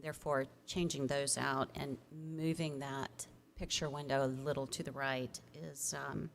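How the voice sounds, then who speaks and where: A woman staff planner reading a formal report aloud at a public hearing in a measured, procedural way. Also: a bass voice, flat delivery, creaky. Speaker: staff planner presenting a case. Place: public commission meeting room. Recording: noisy public meeting room microphone.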